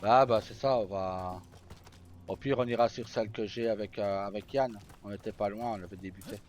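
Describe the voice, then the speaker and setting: A man's voice: lowish voice, fairly even intonation, moderate pace, a little mellow, a little hiss or hum. A man giving casual commentary as he plays a video game on a live stream.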